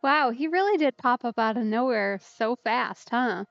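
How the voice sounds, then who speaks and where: A woman's voice: fairly high pitch, expressive, unhurried, no echo. A woman giving casual live commentary as she plays a game, close on the mic with slightly muffled sound. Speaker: game streamer. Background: soft game music.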